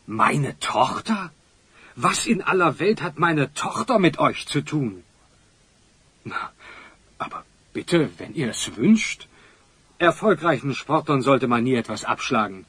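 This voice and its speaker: A man playing a pompous, florid older wealthy magnate with a husky voice in a children's audio drama. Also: fairly high pitch, big pitch swings, slow, pauses often, a bit bright, no room sound, full character voice. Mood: condescending.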